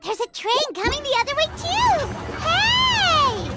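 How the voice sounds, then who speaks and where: Game fairy sidekick: childlike, curious, squeaky, swooping intonation. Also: female voice, huge pitch swings, slow, over music, exaggerated voice. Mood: chirpy, curious. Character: small floating childlike fairy companion, chirpy and curious. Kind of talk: video game voice acting.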